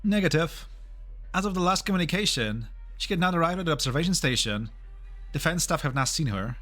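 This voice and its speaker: An amateur actor in a fan dub playing a dutiful subordinate who gives clipped, formal, obedient reports. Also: male voice, on the high side, lively intonation, brisk, fairly bright tone, faint music behind. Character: brisk, dutiful male subordinate giving military-style reports. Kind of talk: amateur fan dub game drama acting.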